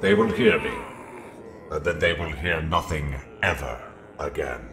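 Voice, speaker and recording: A man playing an ancient, coldly imperious evil entity who speaks formally and with menace in a horror drama. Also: a bass voice. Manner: formal, menacing, deliberate speech. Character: ancient dark male entity seeking a human body, coldly imperious. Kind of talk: fantasy horror web drama acting. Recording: echoey, music behind.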